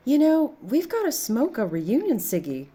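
A woman's voice: high-pitched, huge pitch swings, moderate pace, mellow, husky, heavily acted. An actress playing a blunt, sarcastic young woman who loves to party, in a naturalistic audio drama. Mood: teasing. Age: young adult. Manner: sarcastic, teasing.

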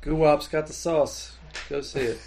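A man's voice: a little high, fairly even intonation, unhurried, gravelly, darkish tone, a little hiss or hum. A man co-hosting a podcast, tossing in dry, wry remarks during a casual chat with friends.

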